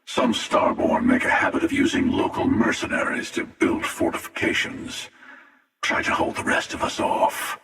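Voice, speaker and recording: Sardonic older villain in a sci-fi game: world-weary rasp, wildly swinging pitch, heavily echoing. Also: male voice, wildly swooping pitch, pushed and bright, exaggerated voice. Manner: slow, deliberate, sardonic, taunting. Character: world-weary, menacing older male villain, immortal spacefaring hunter. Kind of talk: video game character dialogue. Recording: echoey voice with long reverb, distant.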